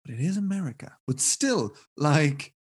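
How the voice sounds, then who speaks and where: A man's voice: a little high, animated, moderate pace, slightly rough. A man hosting a podcast interview, asking short questions and adding wry asides. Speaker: podcast host.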